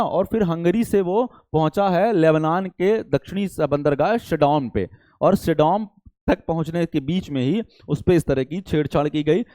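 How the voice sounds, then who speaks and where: A man's voice: fairly high pitch, warm tone, no echo. A man teaching a current affairs lesson in a didactic way, often checking in and posing rhetorical questions. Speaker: teacher for competitive exam students.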